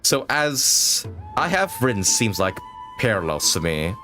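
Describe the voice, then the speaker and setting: Man, game streamer, low voice, very animated intonation, casual joking chat, faint music behind. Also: a little slow.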